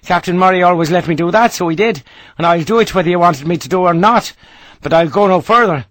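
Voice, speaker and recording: Gruff Irish soldier, big and blunt, pitched up and pushed hard, as a man reading an audiobook puts him on. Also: fast, fairly bright tone, dry recording. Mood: stubborn. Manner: gruff, salty soldier's talk.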